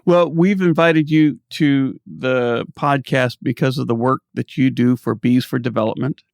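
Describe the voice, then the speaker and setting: Guy hosting a podcast over zoom, friendly, jokey, mid pitch, dry close sound. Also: measured pace, gravelly, no echo. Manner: friendly, joking, laughs along. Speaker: podcast host. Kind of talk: casual interview hosting. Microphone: video call.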